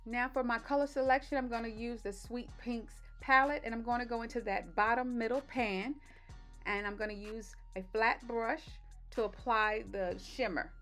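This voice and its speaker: A woman with a slightly raspy voice doing a relaxed step-by-step voice-over for her makeup tutorial. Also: on the high side, moderate pace, soft background music. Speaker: beauty vlogger. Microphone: voice-over mic.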